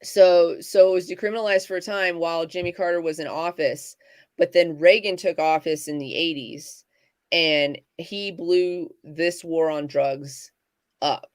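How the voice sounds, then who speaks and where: A woman co-hosting a casual livestream talk, speaking in halting bursts with many fillers and restarts. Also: fairly low pitch, fairly even intonation, no room sound. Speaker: podcast co-host.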